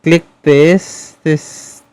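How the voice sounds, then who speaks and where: Slow-talking, hesitant male streamer. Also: fairly high pitch, steady tone, a little mellow, smooth. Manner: hesitant, halting. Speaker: game livestreamer. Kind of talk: casual stream chat.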